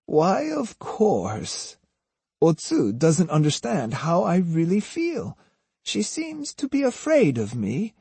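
Man voicing a cunning, high-pitched old woman. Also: huge pitch swings, slow pace, gravelly, darkish tone, husky, no echo, exaggerated voice. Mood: falsely gentle, wheedling. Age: older. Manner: wheedling, earnestly poignant false sweetness. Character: cunning, sneering old Japanese woman feigning gentle sincerity. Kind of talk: audiobook character voice.

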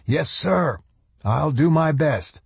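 Man voicing a dutiful higher-pitched soldier reporting. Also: animated, slow pace, vocal fry, slightly rough, dry recording, full character voice. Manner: deferential, clipped military reporting. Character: hard military subordinate man, dutiful, eager to report.

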